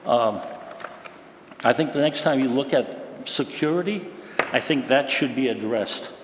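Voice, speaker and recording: A man's voice: medium pitch, lively intonation, measured pace. A man giving a public comment at a school meeting, earnest and informal, trailing off at times. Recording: echoey hall with faint noise.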